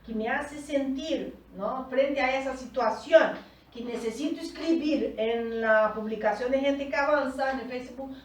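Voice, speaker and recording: Slow, echoey Portuguese-native woman coach. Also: high-pitched. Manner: explains slowly, often checking in with "¿tá?". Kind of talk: teaching a group session. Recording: echoey room, far from the mic.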